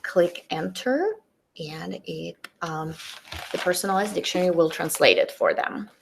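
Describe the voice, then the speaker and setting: A woman's voice: on the low side, lively intonation, creaky, slight background noise. A middle-aged woman teacher with a Russian accent, a native Russian speaker, carefully demonstrating a tool in a webinar on a computer mic. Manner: careful, deliberate, demonstrates on screen. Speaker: teacher presenter.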